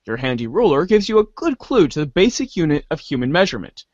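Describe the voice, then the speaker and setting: A man's voice: on the high side, very animated, fast talker, a little mellow, no room sound, dull audio. A man giving a theatrical dramatic reading of scripted narration, now and then stumbling and rereading words. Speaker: voice reader in a webcomic reader's theater.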